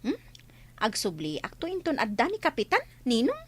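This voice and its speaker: A woman as a high, animated young villager. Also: normal speed, husky, full character voice. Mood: earnest, troubled. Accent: Ilocano. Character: earnest young village goddaughter seeking a certificate. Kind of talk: Ilocano radio comedy drama acting.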